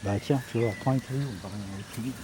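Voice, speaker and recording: A man's voice: medium pitch, fast, background noise, close to an everyday voice. A young man playing a sceptical, questioning wartime fugitive in a radio drama. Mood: instructing. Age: young adult.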